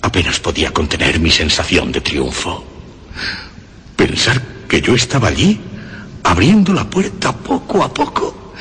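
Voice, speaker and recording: An actor playing a deranged, cunning murderer in a radio horror monologue, brooding and theatrical, with dramatic pauses and sudden swells. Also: male voice, on the low side, fairly bright tone. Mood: gloating. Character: deranged, cunning murderer confessing with morbid pride. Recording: long reverb, faint background noise.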